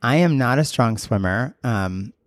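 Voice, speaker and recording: A man's voice: medium pitch, animated, a little slow, no echo, studio quality. A young adult man, a teacher co-hosting a casual podcast, talking enthusiastically with lots of fillers. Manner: enthusiastic, uses many fillers. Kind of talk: casual conversation.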